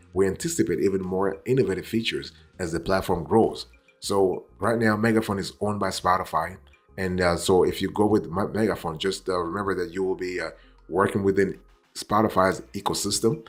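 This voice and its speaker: A man giving an explanatory review as a host, deliberate in delivery, repeating phrases and checking in with the listener as he goes. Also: sits low, steady tone, husky, faint music behind. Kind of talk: explanatory software review, semi-scripted.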